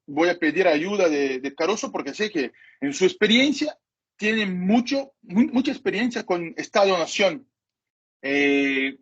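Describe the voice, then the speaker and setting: Husky man lecturing in halting Spanish. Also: high-pitched. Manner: hesitant, apologizes for his Spanish. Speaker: cybersecurity lecturer. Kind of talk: masterclass lecture. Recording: dull lo-fi recording.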